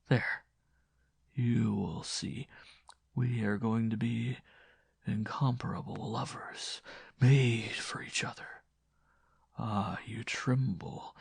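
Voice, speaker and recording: A man voicing a bitter, menacing, disfigured blind former lover in a slow, hushed, breathy voice for a horror story. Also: on the low side, no echo, studio quality, exaggerated voice. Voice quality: breathy, hushed, often whispering. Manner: slow, quiet, pleading turning cruel.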